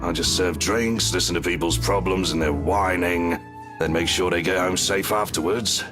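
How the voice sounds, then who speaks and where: A very low-pitched older barkeeper played by a male actor speaks with gruff, teasing bluntness. His slangy, wry delivery belongs to a story-driven video game, with music playing underneath. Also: normal speed, lightly acted. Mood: teasing, blunt. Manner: blunt, wry, slangy, calls listeners kiddo. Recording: voice over background music.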